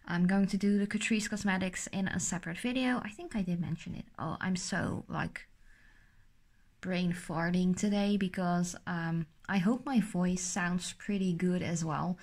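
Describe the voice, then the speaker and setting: A woman's voice: lowish voice, fairly even intonation, unhurried, a little mellow. Young woman, Dutch native, sore-throated beauty vlogger; casual, rambling delivery with plenty of fillers. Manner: chatty, rambling, lots of fillers. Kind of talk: casual product unboxing.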